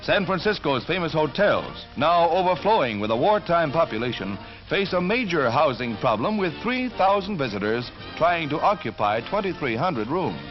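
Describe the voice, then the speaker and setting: A man's voice: on the high side, big pitch swings, normal speed, few pauses. An American man reading scripted 1940s wartime newsreel narration in a dramatic, emphatic announcer's delivery. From United States. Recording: dull 1940s newsreel soundtrack. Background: orchestral music.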